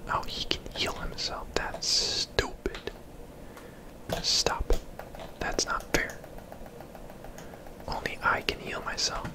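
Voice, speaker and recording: Asmr gamer whispering the whole time, breathy and chill, casual game commentary. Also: slow, long pauses, low-quality recording. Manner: laid-back, casual. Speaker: host, gamer.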